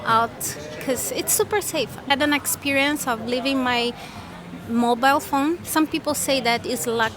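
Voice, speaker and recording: A woman's voice: high-pitched, moderate pace, over music. A middle-aged woman from Brazil, chatting casually as an interviewee outdoors.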